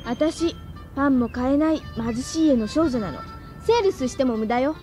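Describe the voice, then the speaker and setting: A woman playing a plucky, cheeky high-school girl with worries, in a Japanese radio drama. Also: moderate pace, warm tone, soft background music, natural voice.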